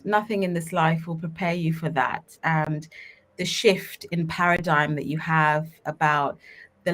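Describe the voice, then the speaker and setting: A woman, talking as an interview guest in a relaxed, reflective way with many fillers, over a video call. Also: on the low side, low-quality recording. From Uganda. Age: 30s. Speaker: guest, startup co-founder. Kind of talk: casual interview talk.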